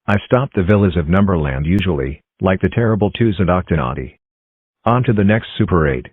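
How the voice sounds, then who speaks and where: Upbeat number-block cartoon characters voiced by a man, deep, on a tinny dry line. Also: animated, fairly quick, slightly rough, no echo. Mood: cheery. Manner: chirpy self-introductions, plain everyday voice. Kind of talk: fan-made cartoon voicing. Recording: tinny phone-like dry recording.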